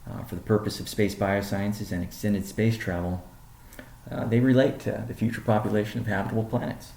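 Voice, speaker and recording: Deep, gravelly male executive in a roomy space. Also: steady tone, brisk, a little mellow, slight background noise. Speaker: company CEO presenting. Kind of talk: prepared business presentation on a conference call. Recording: roomy, echoing sound.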